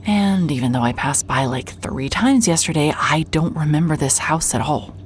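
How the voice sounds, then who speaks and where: Actress as female PI, low voice, wry, nervy, hard-hearted, self-muttering, noir audio drama, background ambience. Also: normal speed, a little hiss or hum, lightly acted. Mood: businesslike. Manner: wry, muttering, talks to herself. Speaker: lead actor and narrator of an audio drama. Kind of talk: cinematic audio drama, first-person noir narration and case dictation.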